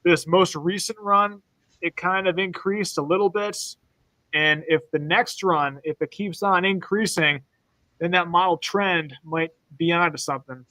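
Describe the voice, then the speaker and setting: Bright high-voiced man, dry sound. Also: unhurried, no room sound, low-quality recording. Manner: hesitant, restarts, then walks through maps. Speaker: weather co-host. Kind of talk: explaining forecast maps.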